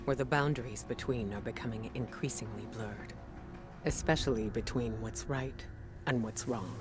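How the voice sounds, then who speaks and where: An actor playing a weary but resolute male commander in a video game, measured, reflective and serious. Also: medium pitch, natural voice. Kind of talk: dramatic video game voice acting. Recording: music under the dialogue. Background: game music.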